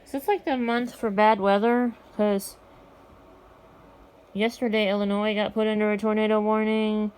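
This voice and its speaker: Flat-toned American woman chatting offhandedly. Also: medium pitch, moderate pace, smooth, low-quality recording. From United States. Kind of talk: casual vlog chat.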